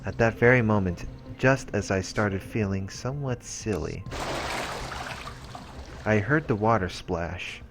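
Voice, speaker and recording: Low-voiced man reading game narration. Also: measured pace, background noise. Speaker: let's play host reading a visual novel aloud. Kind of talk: reading game narration aloud with casual asides. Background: game music.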